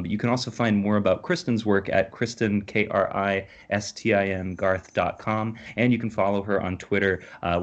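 A man hosting a poetry reading over a video call, casual and appreciative as he introduces readers. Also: deep voice. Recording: dull, lo-fi video call audio.

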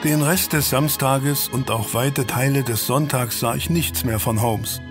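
Man as a mild genteel doctor in a radio play, mid voice, measured, music under it. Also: medium pitch, close to an everyday voice. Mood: calm storytelling. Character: steady, genteel doctor, the detective's loyal companion and narrator. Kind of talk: German audio drama, narration and dialogue.

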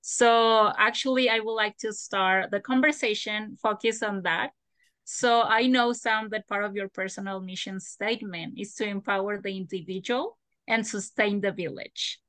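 A young woman moderating a webinar on a video call, speaking in a quiet, measured way. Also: mid-range voice, fairly even intonation, no room sound. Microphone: video call webcam.

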